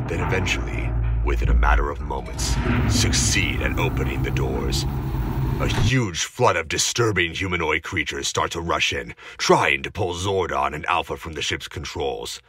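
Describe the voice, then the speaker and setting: Man, extremely deep pitch, bright forceful tone, dramatic audio drama narration, suspenseful storytelling. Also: breathy edge.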